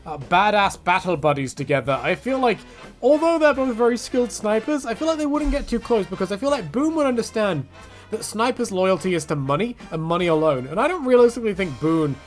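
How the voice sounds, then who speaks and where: A man giving a casual, rambling, jokey rant about games, full of slang and acted-out quotes. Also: high voice. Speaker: video creator, game commentator.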